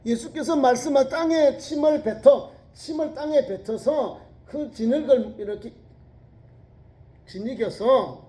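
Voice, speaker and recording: A middle-aged pastor with a regional Korean accent preaching a sermon, asking the congregation rhetorical questions and rising to near-shouts when quoting scripture. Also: male voice, very high voice, unhurried. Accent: Korean regional dialect. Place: church sanctuary. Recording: echoey church sanctuary.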